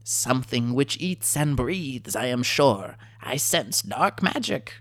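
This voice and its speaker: A man voicing a small male kobold sorcerer who is proud and fearful, speaking in grave, formal, halting broken phrases. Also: medium pitch, big pitch swings, fairly bright tone, dry recording, full character voice. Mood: ominous.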